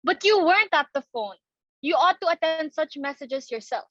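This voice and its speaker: Girl playing a flustered earnest society daughter in a stage comedy, really high voice, pleading, bit muffled dry recording. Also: expressive, moderate pace, a bit bright, no room sound. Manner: anxious, pleading, theatrical. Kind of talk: dramatic reading of a stage comedy.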